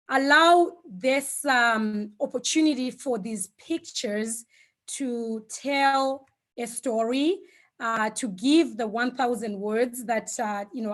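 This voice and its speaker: A woman, a Shona speaker, giving an informal online presentation in a reflective, hesitant way, often pausing mid-thought. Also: on the high side, a bit bright. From Zimbabwe. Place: online video call.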